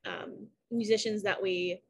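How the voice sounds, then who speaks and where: A woman with a dark, rich tone, talking casually and modestly as an interviewed singer, often saying "like". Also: mid-range voice, steady tone, measured pace, husky. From Southeast Texas, US. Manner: informal, self-deprecating, frequent "like". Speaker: singer and pianist in a sister duo.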